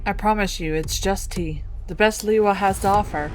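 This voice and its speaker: A woman's voice: mid-range voice, normal speed, over music, close to an everyday voice. A woman voicing a suave, wealthy male banker villain in a game story, polite and unruffled with a condescending air.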